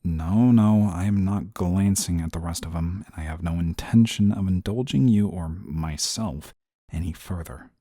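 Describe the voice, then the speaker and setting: A man acting a low, hushed, dutiful guardian angel. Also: moderate pace, no room sound, clean studio sound. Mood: stubborn denial. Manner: formal, reserved, prim and exasperated. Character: stern, flustered, dutiful male guardian angel. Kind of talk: scripted audio roleplay, intimate one-sided dialogue.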